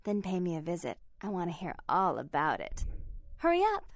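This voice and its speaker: Teasing, sing-song vampire schemer. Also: female voice, lowish voice, wildly swooping pitch, brisk, dry recording, clean studio sound. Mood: bossy, playful.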